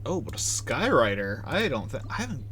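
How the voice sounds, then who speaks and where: Male game streamer, very animated mid-range voice, rambling off-the-cuff chat, faint noise behind him. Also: medium pitch, brisk. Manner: rambling, thinking aloud. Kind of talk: casual livestream gameplay chat.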